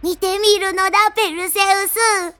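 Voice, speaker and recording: A woman's voice: very high-pitched, fairly even intonation, measured pace, pushed and bright, faint music behind, full character voice. A woman playing a small, childlike messenger god, cheeky, smug and unapologetic, with cutesy phrasing in a comedic audio drama. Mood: curious, insistent.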